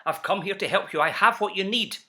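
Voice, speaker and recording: A man's voice: sits high, brisk, pushed and bright. A man voicing a sly, slick travelling salesman in a storybook, with keen, persuasive patter. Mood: brisk pitching.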